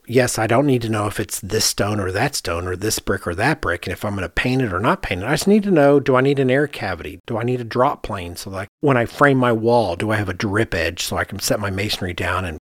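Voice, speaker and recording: A man's voice: fairly low pitch, animated, quick pace, few pauses, dry recording, studio quality. A man co-hosting a podcast as an architect, talking casually and thinking aloud, often voicing his own reasoning as quoted asides. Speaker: podcast host.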